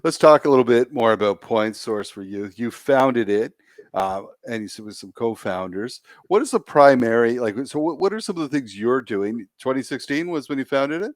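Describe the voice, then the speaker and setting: A man's voice: medium pitch, animated, moderate pace, no room sound, studio quality. A man hosting a podcast, introducing and questioning his guest in an upbeat, encouraging way. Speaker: podcast host. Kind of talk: friendly interview hosting.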